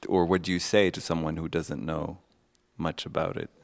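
A man with a very deep, gravelly voice asks a question in an interview. He falters and restarts, searching for his words. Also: little pitch movement, moderate pace, no room sound. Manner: hesitant, with restarts. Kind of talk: conversational interview.

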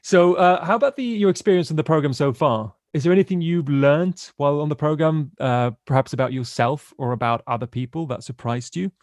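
A man's voice: fairly high pitch, brisk, hardly any pauses, dry recording, studio quality. A man hosting a podcast and introducing a group of students, friendly and lightly joking. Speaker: podcast host.

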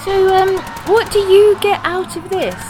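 A woman's voice: very high voice, fairly even intonation, measured pace, music in the background, crowd noise. A woman playing a teasing, sceptical mother who probes with questions in an audio drama. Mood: probing, sceptical. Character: middle-aged mother, probing, teasing, sceptical.

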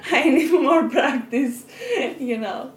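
A middle-aged woman, speaking halting, hesitant English as a while she bakes and chats in her kitchen. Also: high voice, lively intonation, a little hiss or hum. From Albania. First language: Albanian. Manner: halting learner's English with pauses and self-corrections. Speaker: guest baking a cake. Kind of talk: casual chat while baking. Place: home kitchen.

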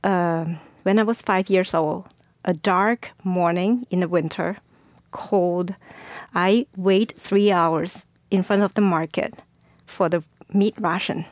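A woman's voice: lowish voice, steady tone, takes it slowly, no room sound. Woman, hesitant. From China. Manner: hesitant, with fillers and self-corrections. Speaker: guest, former school board candidate. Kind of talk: personal storytelling in an interview.

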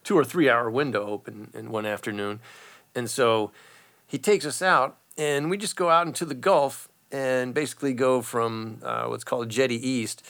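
Man, middle-aged, bright forceful tone, casual storytelling, rambling with fillers. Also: mid-range voice, moderate pace, gravelly. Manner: rambling, animated, many fillers.

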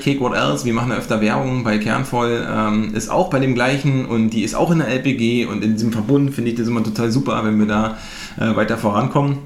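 A man from northeastern Germany hosting a podcast, talking casually with lots of fillers and tag questions. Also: mid-range voice. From Mecklenburg-Western Pomerania, eastern Germany. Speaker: podcast host. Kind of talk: casual conversation. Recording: roomy with faint noise.

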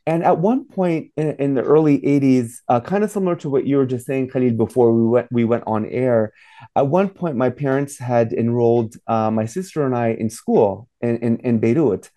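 A man's voice: medium pitch, moderate pace, mellow. A man in his forties who grew up in Saudi Arabia, an author speaking as an interview guest, rambling with many fillers and restarts. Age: 40s. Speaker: author and professor.

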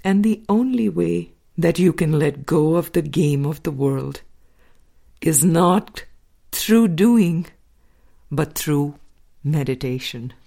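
A woman with a low-pitched voice reads a spiritual audiobook. Her delivery is slow and deliberate, with pauses between phrases. The tone is soft-edged and mellow. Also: lively intonation, creaky. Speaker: audiobook reader. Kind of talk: scripted spiritual audiobook narration.

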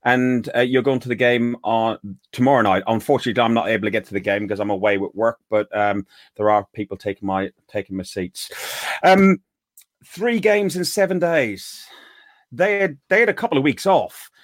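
A man's voice: mid-range voice, normal speed, no echo. Irish male host, casual and rambling, dry close sound. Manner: rambling, many restarts. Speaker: podcast host. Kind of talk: casual fan chat.

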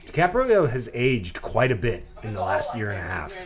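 A man co-hosting a podcast in casual chat, complaining in a deadpan, grumpy way. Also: on the low side, normal speed, a crowd in the background. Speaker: podcast co-host. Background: music playing.

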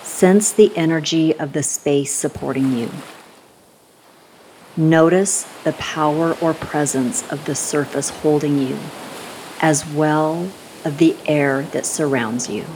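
A woman guiding a scripted meditation in a soothing, unhurried manner, giving gentle instructions with long pauses. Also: deep voice, slight background noise, rough recording. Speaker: meditation guide.

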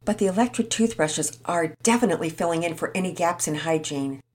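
A woman's voice: fairly low pitch, a little fast, darkish tone, lightly acted. A woman voicing a friendly, busy mother in a storybook reading. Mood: polite.

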